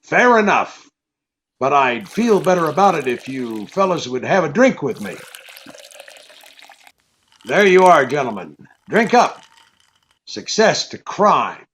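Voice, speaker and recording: An actor playing a wry, tough-talking private detective in old-time radio style, with clipped slang. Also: male voice, a little high, sing-song, slow pace, pauses often, a bit bright, slight background noise, heavily acted. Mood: wry, guarded. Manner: clipped hardboiled slang, hesitant fillers. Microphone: video call. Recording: dull lo-fi video-call audio.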